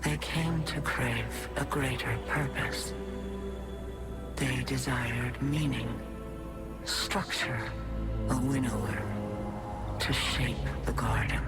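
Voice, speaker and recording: Otherworldly entity speaking through a human host: solemn, unhurried, portentous game narration over loud music. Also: female voice, low-pitched. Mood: grave, reverent. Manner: slow, measured, portentous. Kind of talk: dramatic game cutscene narration.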